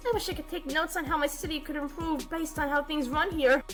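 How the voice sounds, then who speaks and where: A woman's voice: sits high, steady tone, a little fast, a bit bright. A woman voicing a brash, pushy Canadian goose office worker who talks in business jargon in a cartoon comedy dub, with music behind. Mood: chatty, forthright. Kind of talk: animated comedy comic dub.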